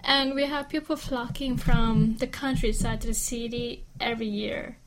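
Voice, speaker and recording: Woman, slightly high voice, conversational discussion, noticeable background noise. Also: steady tone, moderate pace. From Vietnam. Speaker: discussion participant. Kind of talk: informal discussion in a second language. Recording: clearly noisy background with clicks.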